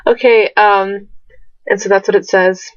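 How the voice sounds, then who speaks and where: A young woman, sick and rougher-voiced than usual, rambling through fan questions in a casual webcam vlog, self-deprecating and full of fillers. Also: medium pitch, fairly even intonation, measured pace, a little hiss or hum. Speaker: vlogger. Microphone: webcam mic. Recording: dull lo-fi webcam audio.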